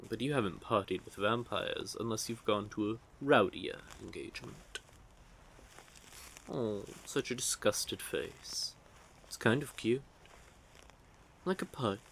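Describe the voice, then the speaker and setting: Man playing a low-voiced, smug, flirtatious vampire. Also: a bit bright, slight background noise. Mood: playful, smug. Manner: teasing, smug, drawling menace. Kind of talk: audio roleplay, speaking intimately to one listener. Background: outdoor night forest ambience effects.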